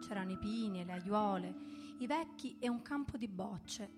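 A woman's voice: medium pitch, animated, moderate pace. A woman reads a literary first-person memory aloud as scripted narration. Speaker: reader of a written memoir. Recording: guitar music playing behind the voice.

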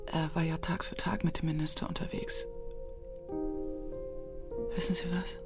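A woman acting in a German radio drama as a weary, grieving young mother, subdued and halting, with a breathy voice. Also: sits low, little pitch movement. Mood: sad, worried. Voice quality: breathy, whispery. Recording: music behind the voice.

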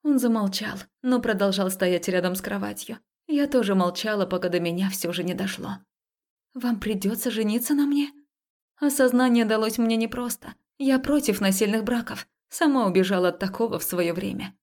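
A woman narrating a first-person romance story as an audiobook, expressive and confessional, acting out the dialogue. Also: mid-range voice, brisk, few pauses.

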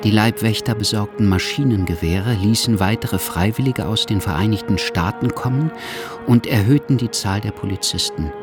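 An actor playing a sombre male writer who narrates history in measured literary style for a radio drama. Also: lowish voice, loud music behind the voice, lightly acted. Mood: sober, ominous.

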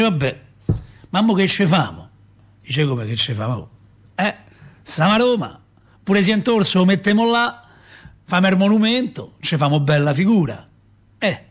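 An actor playing a chatty Roman father who tells city tales in a folksy, rambling way, with a Roman accent. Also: high-pitched. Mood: wry storytelling. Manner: animated, folksy, rambling, rhetorical. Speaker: actor in a radio theatre monologue. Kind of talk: colloquial dramatic storytelling.

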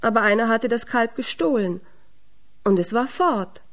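A woman narrator telling a fairy tale with a measured storyteller delivery. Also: on the high side, animated.

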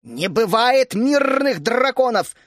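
A man voicing a bossy, hot-tempered female gnome who scolds and shouts. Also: sits high, lively intonation, normal speed, no room sound, clean studio sound. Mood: furious. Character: stern bossy female gnome housewife, fiery.

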